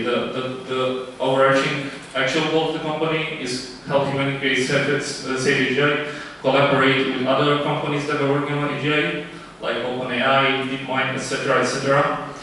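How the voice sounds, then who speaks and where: A man from Romania pitching his startup live, halting often with fillers and restarts. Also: pushed and bright, slight background noise. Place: event room. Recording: echoey room, distant mic.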